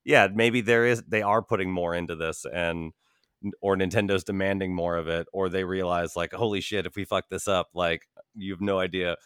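Deep, smooth-voiced man, wry podcast commentary. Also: fairly even intonation, normal speed, fairly bright tone, no echo, studio quality. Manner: wry, skeptical.

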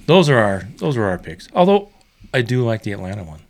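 A man's voice: mid-range voice, sing-song, fairly quick, a little hiss or hum. A man hosting a sports podcast, chatting casually and running through player news. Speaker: podcast host. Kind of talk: casual chat.

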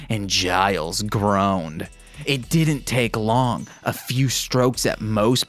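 A man's voice: mid-range voice, a bit bright. A man hosting a podcast, reading fan fiction aloud in an irreverent way and breaking off into casual, joking asides to listeners. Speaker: podcast host.

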